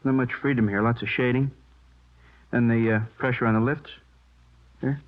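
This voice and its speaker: An American man playing a methodical police handwriting expert, explaining haltingly and checking that he is followed, in 1950s radio drama style. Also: mid-range voice, fairly even intonation, lightly acted. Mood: absorbed, matter-of-fact. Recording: tinny old radio recording.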